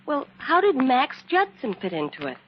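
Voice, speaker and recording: An actress playing an eager, questioning detective's assistant in an old-time radio drama, earnest and expressive. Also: female voice, high voice, normal speed. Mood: curious, earnest. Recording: muffled, hissy old radio recording.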